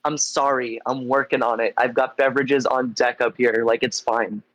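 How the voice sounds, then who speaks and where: A man's voice: medium pitch, fairly even intonation, moderate pace, a bit bright, dry recording. Congested man in dull-sounding casual chat. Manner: informal, meandering, heavy use of like. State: getting over a cold, congested. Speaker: interview guest, musician in a band. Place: live online stream.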